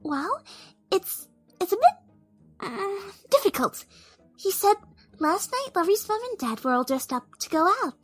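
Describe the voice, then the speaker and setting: A woman voicing a timid, soft-spoken, kind young female pegasus pony in a cartoon audio drama, hesitant and apologetic. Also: very high-pitched, sing-song, a little slow, long pauses, no room sound, soft background music, exaggerated voice. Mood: worried. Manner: hesitant, apologetic, gently stammering.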